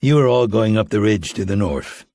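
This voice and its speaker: A man's voice: fairly low pitch, animated, normal speed, dark tone, dry recording, clean studio sound. A man playing a stoic, commanding covert operative in a thriller audiobook, speaking with terse authority. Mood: grim, resolute. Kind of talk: audiobook character dialogue.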